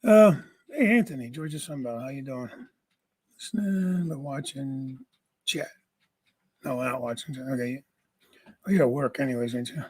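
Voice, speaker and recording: A man with a raspy voice hosting a casual livestream from home, greeting viewers by name and chatting. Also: medium pitch, normal speed, pauses often, a little mellow. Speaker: livestream host.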